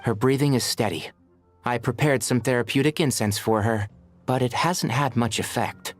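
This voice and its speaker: An actor playing a gentle, concerned caretaker in a video game, speaking carefully. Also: male voice, mid-range voice, normal speed, soft background music, close to an everyday voice.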